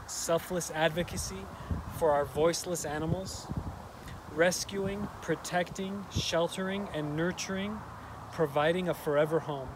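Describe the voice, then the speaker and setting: A middle-aged man presenting an award, reading a formal letter in a measured way. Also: fairly high pitch. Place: outdoors at a farm. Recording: outdoor recording with background noise.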